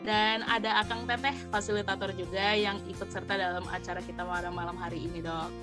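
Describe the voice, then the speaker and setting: A woman's voice: medium pitch, flat delivery, brisk, over music. A young woman student moderating an online interview, polite and structured with light filler words. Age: young adult. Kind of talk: formal event moderation. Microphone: video call.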